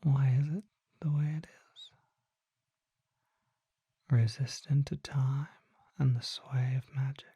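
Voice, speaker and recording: Casting: mysterious vampire merchant played by an ASMR performer, suave and unhurried, hushed dark whisper with gravelly creak. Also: medium pitch, fairly even intonation, dry recording, heavily acted. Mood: hushed, intrigued. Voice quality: breathy, whispery. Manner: measured, formal, coy rhetorical questions. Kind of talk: ASMR roleplay, soft whispering.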